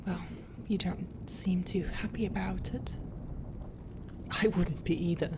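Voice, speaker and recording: A woman playing a flustered but tender pirate captain in an ASMR roleplay, halting and often dropping to a half-whisper. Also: lowish voice, background noise. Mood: soft, wistful. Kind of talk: ASMR roleplay, soft intimate acting. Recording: steady ambient sound effects behind voice.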